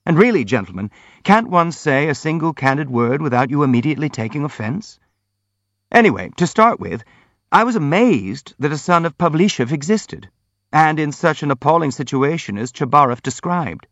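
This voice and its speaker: Audiobook role: gentle young prince, performed by a man, courteous, earnest, timid, hurrying to explain himself. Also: a little high, very animated, moderate pace, dry recording, dull audio, pitched above the performer's own voice. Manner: polite, earnest, hastily apologetic.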